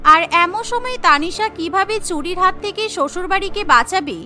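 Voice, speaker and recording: Very high, bright woman storyteller. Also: expressive, normal speed, faint music behind. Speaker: narrator. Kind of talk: scripted storybook narration for cartoon.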